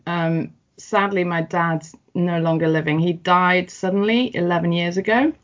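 A middle-aged woman from North West England, talking through her life in a relaxed, reflective interview with frequent ums and restarts. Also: on the low side, moderate pace. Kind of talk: personal interview. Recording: muffled, dull audio.

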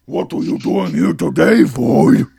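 A man putting on a gravelly cranky virus spirit. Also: fairly high pitch, noisy background, voice pushed up. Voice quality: gravelly, husky. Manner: slow, cranky scolding. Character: grumpy gravelly coronavirus spirit, male, scolding.

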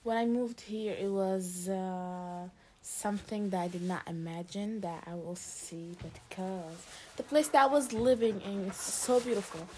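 A teenage girl from Lebanon, a high school student answering interview questions in a casual, hesitant way with many fillers and restarts. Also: unhurried, a little mellow, a little hiss or hum. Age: teens.

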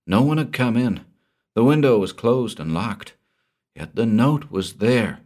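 A man's voice: mid-range voice, expressive, unhurried, a little mellow, voice pushed up. A man voicing a panicked, wealthy middle-aged man who pleads hysterically for help. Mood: shaken.

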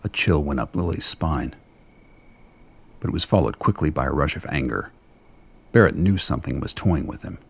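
A male story narrator with a remarkably deep voice. It is full of fry and rasp as he reads scripted dramatic prose. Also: expressive, moderate pace, long pauses. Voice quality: gravelly, husky.

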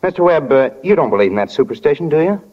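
A man's voice: on the high side, big pitch swings, fast. An actor playing an eager, earnest small-town young man in love, boyish, polite and folksy, in 1940s radio drama. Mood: puzzled, earnest. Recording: dull, noisy 1940 radio recording.

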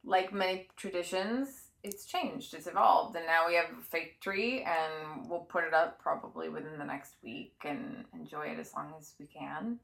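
A woman's voice: low voice, normal speed, gravelly, few pauses, fairly bright tone, poor audio. A middle-aged woman co-hosting a relationship show, easygoing and asking questions in casual chat. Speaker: co-host.

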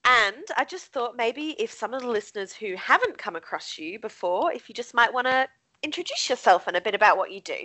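A woman hosts a podcast, her voice crisp and bright. She opens with a gentle scripted welcome, then asks her guest questions in an eager, friendly way. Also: a little high, expressive, brisk. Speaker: podcast host. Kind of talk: podcast interview.

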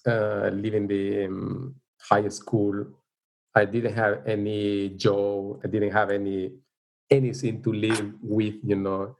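A middle-aged man from El Salvador with a Salvadoran Spanish accent, speaking English as a second language in a casual interview, halting and unhurried, with frequent fillers. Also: fairly low pitch, poor audio. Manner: unhurried, halting, with frequent "you know" and light laughs. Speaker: interviewee, teacher. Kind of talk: casual life-story interview.

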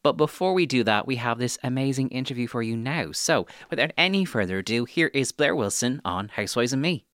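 A man's voice: mid-range voice, lively intonation, brisk, few pauses, fairly bright tone. An Irish man hosting a podcast, chatting in a casual, informal interviewing style full of asides. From Ireland. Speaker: podcast host.

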